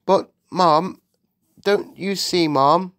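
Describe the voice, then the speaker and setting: A woman's voice: on the low side, a little slow, dry recording, clean studio sound, voice pushed up, natural voice. A woman voicing a timid, deferential palace maid in an audiobook, stammering and quivering as she speaks. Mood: nervous, urgent.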